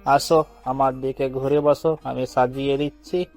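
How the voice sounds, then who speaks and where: A young man, played by a male actor, in a romantic audio story, mischievous and coaxing. His mid-pitched voice stays fairly level as he teases, with soft music behind. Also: level tone, normal speed, close to an everyday voice. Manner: coaxing, teasing.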